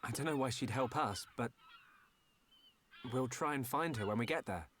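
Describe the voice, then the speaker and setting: A man's voice: medium pitch, normal speed, a bit bright, slight background noise, natural voice. An actor playing an earnest, thoughtful young male hero.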